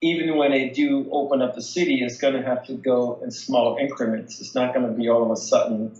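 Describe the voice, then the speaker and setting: An echoey, slightly muffled phone recording of an American man with a mid-pitched voice. He answers interview questions casually as a local resident, often halting and searching for the right word. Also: steady tone, darkish tone. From United States. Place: remote phone call. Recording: dull, echoey phone call.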